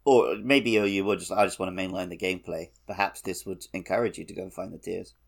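Deep gravelly man chatting casually. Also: steady tone, fast talker. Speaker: podcast co-host. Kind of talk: casual discussion of a video game.

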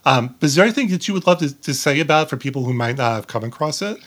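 A man hosting a casual interview podcast, speaking politely and haltingly and often repeating himself. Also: fairly high pitch, expressive, a bit bright.

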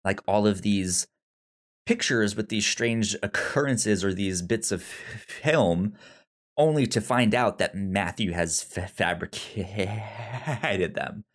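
Low-voiced man in casual film chat. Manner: halting, rambling, many restarts. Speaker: podcast co-host.

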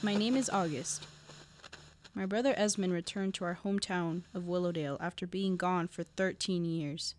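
A woman playing a determined, earnest young heroine in an audio drama, with an intense, deliberate delivery. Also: on the low side, fairly even intonation, natural voice. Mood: solemn narration. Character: determined, earnest young heroine fighting to save her family. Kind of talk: audio drama acting with narration. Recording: recording with faint background noise.

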